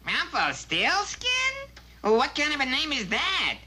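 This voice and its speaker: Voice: high-pitched, big pitch swings, unhurried, sharp tone, heavily acted. An actor voicing an eager, naive young male elf in a comic cartoon, with a brash, cheeky way of talking. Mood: indignant. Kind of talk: comic cartoon character acting.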